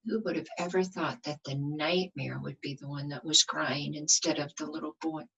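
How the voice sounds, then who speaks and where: A woman reading a picture book aloud to young children like a teacher, expressive, often asking the listeners questions. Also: deep voice, moderate pace, vocal fry, husky, muffled recording. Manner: asks the listeners questions, dramatic emphasis.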